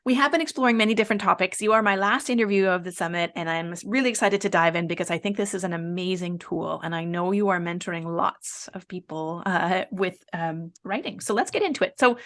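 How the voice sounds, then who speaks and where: A woman's voice: fairly low pitch, brisk, hardly any pauses, fairly bright tone. A woman hosting an online summit interview, introducing her guest in a conversational way.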